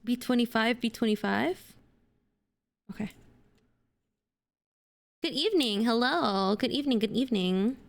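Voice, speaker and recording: A woman's voice: on the high side, lively intonation, a little slow, gravelly, pauses often. A young woman from Indonesia, chatting playfully as she streams herself building a model kit. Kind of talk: casual live-stream chat while building a model kit.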